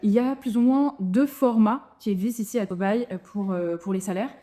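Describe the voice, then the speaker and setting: A woman's voice: mid-range voice, brisk, dark tone. A woman in her thirties, a dentist talking casually to camera about her move abroad, with many fillers and restarts. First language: French. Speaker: dentist sharing her expat experience.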